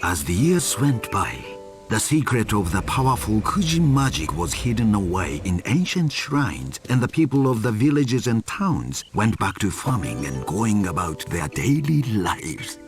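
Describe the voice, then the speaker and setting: An actor playing a solemn storyteller, narrating an ancient legend for a video game with theatrical, deliberate emphasis. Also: male voice, fairly low pitch, big pitch swings. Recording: loud music behind the voice. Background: loud game music.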